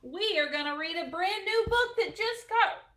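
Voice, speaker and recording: A woman's voice: extremely high pitch, animated, normal speed, fairly bright tone, a little hiss or hum. A woman hosting a storytime, reading a picture book aloud expressively for children, with short chatty asides.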